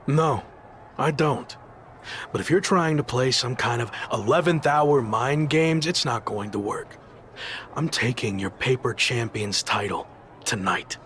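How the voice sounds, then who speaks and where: An actor playing a wary, determined young wrestler in a video game story scene, speaking plainly. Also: male voice, medium pitch, expressive, unhurried, fairly bright tone, a little hiss or hum. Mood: suspicious, defiant. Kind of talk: video game cutscene acting.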